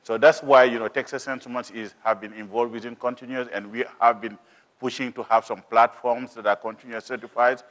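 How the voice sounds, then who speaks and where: A man with a deep voice presenting on a panel as a business manager, in a halting, repetitive way full of filler phrases. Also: steady tone, fairly bright tone. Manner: halting, repetitive, peppered with "you know".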